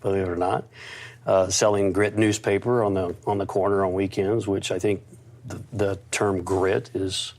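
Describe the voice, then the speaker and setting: A man's voice: sits low, flat delivery, moderate pace, darkish tone. A middle-aged man from the United States, an interview guest, telling his life story in a confident, reflective way with some stuttered restarts.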